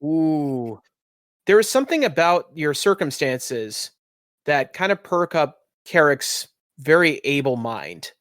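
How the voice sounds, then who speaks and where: A man's voice: a little high, very animated, slow pace, a bit bright, no echo, clean studio sound. A man narrating a tabletop roleplaying game as game master, improvising as he goes.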